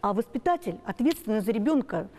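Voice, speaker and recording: A woman's voice: medium pitch, very animated, quick pace, mellow. A woman in her sixties speaking as a talk show panel guest, making emphatic points one by one and repeating herself. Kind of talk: opinionated panel discussion, unscripted. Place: TV studio with seated panel.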